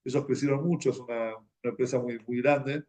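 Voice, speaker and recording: A man with an Argentine Spanish accent giving an informal religious talk, telling anecdotes in a halting, conversational way and repeating words as he goes. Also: mid-range voice, fairly even intonation, darkish tone. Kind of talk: informal sermon, anecdotal storytelling.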